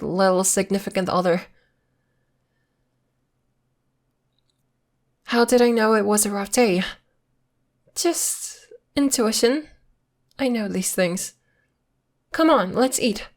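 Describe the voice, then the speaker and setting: A woman's voice: medium pitch, close to an everyday voice. A woman acting in an ASMR roleplay as a playful, evasive girlfriend who is secretly a witch, talking intimately in a coy, teasing, casual way. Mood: caring, soothing. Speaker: voice actor.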